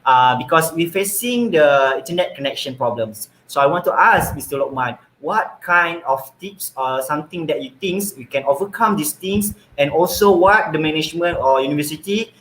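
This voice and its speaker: A man's voice: fairly high pitch, fairly bright tone, rough recording. A young man from Malaysia moderating an online student forum, thanking speakers and introducing panelists with frequent hesitations. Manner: hesitant, many filler pauses. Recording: faint background noise.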